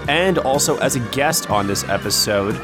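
A man's voice: medium pitch, animated, moderate pace, music in the background. A man hosting a film review discussion, speaking in a wordy, emphatic way. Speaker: podcast host.

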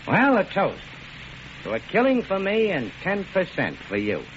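A man's voice: high voice, wildly swooping pitch, a little slow, fairly bright tone, full character voice. An actor playing a crude, greedy, menacing gangster with an American accent and slangy tough-guy talk in an old radio drama. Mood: smug. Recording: muffled, noisy old radio recording.

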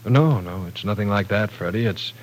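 An actor playing a polite, awkward professor in an old-time radio drama, speaking hesitantly and formally. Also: male voice, lowish voice, moderate pace, a little hiss or hum, natural voice, low-quality recording. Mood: earnest, apologetic. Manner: hesitant, formal, precise wording. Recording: vintage radio broadcast.

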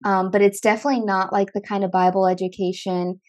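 A woman's voice: medium pitch, little pitch movement, fast, a little mellow. A woman hosting a podcast, talking casually with lots of "like" and filler words. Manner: chatty, filler-heavy, frequent "like". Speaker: podcast host. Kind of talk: casual conversation.

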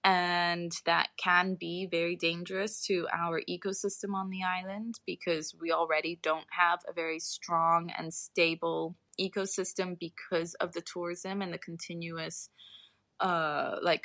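Bright-voiced young woman explaining research casually. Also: lowish voice, little pitch movement, a little slow, gravelly, no echo. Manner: informal, explanatory, self-qualifying. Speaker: podcast guest, researcher.

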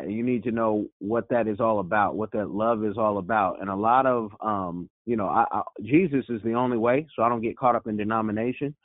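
A male host speaks earnestly, pausing and restarting as he builds his question. The sound is dry and close, squeezed thin like a phone call. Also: mid-range voice, no room sound. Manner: earnest, halting, many fillers. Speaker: interview host. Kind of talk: conversational interview.

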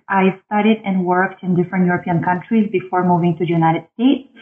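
A woman in her thirties from Bulgaria, answering interview questions about her background in a measured way with frequent ums. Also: medium pitch. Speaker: guest author. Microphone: phone line. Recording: tinny phone line.

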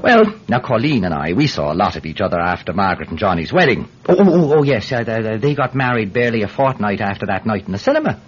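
An actor playing an eager Irish peat seller testifying in court in a classic radio drama, hesitant, stammering and forever digressing. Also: male voice, lowish voice, big pitch swings, quick pace, few pauses. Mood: storytelling. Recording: muffled, hissy vintage radio recording.

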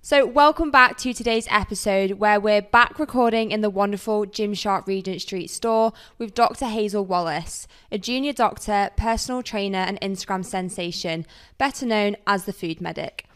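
A woman's voice: mid-range voice, moderate pace, fairly bright tone, clear, resonant tone. A woman co-hosting a podcast, giving an upbeat introduction of the guest. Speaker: podcast co-host. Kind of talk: podcast interview.

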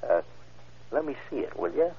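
An actor playing a tough, sly bandit with curt, slangy talk in a 1940s style radio crime drama. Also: male voice, mid-range voice, sing-song, normal speed, breathy edge, heavily acted. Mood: wheedling, sly. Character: tough, sly, defiant male hold-up bandit. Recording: tinny, muffled old radio recording.

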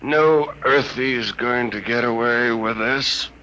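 An actor playing a brusque, contemptuous imperial patrol sergeant in a 1950s radio drama, barking orders. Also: male voice, mid-range voice, slow, pushed and bright, slight background noise, rough recording. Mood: gruff.

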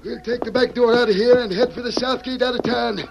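Gravelly, high-strung cowboy. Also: male voice, steady tone, fairly quick, dull audio. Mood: urgent. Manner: folksy frontier slang, blustering. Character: tough, hot-headed Western cowboy with a gun, Joshua Boggs. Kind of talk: 1940s radio Western acting. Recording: noisy old radio recording.

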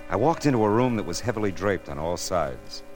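Brief: low-pitched hard-boiled detective, wry and wary, noir radio narration, faint music underneath. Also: male voice, lively intonation, brisk, a little mellow. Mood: tense, watchful.

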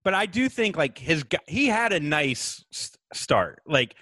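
A man's voice: on the high side, big pitch swings, unhurried, pushed and bright, no echo, studio quality. A man hosting a casual comedy podcast, crude and self-mocking, laughing often, with a light lisp. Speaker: podcast host.

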